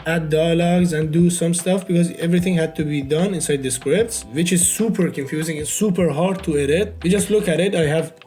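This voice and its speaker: A man's voice: on the high side, fairly quick, hardly any pauses, mellow, soft background music. A man whose first language is Farsi gives a loose, unedited walkthrough of his indie game. He keeps filling gaps with "you know". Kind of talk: casual unedited devlog walkthrough.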